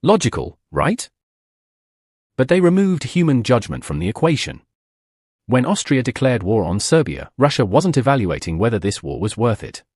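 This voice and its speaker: A man's voice: mid-range voice, big pitch swings, normal speed, a little mellow, slightly rough, no echo, clean studio sound. A man giving scripted documentary narration for a history explainer, dramatic and punchy, with plenty of rhetorical questions.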